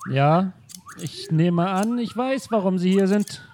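A man's voice: sits high, takes it slowly, darkish tone, a little hiss or hum. A man playing an indignant rich collector. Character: rich eccentric male art collector, indignant.

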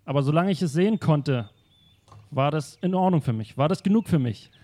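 A man's voice: on the high side, animated, a little fast, warm tone. A man voicing a rich, eccentric male art collector who talks in an indignant, self-assured way.

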